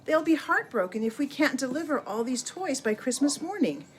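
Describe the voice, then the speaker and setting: A woman voicing a gentle, weary old horse in a picture-book story, apologetic and fretting. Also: sits high, very animated, normal speed, slight background noise, voice pushed up. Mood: worried.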